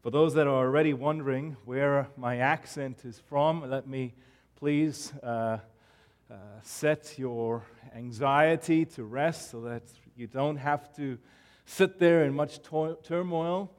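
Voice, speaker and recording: A man's voice: mid-range voice, takes it slowly. A man in his forties from South Africa, whose accent is a South African and American mix, preaching a sermon as guest pastor in an earnest, friendly manner with frequent "uh" fillers. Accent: South African mixed with American. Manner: warm, earnest, self-deprecating pulpit delivery with frequent fillers.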